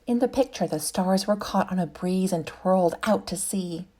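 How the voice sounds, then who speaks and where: A woman teacher reading a storybook expressively to young children, with measured, drawn-out phrasing. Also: fairly low pitch, warm tone. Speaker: kindergarten teacher.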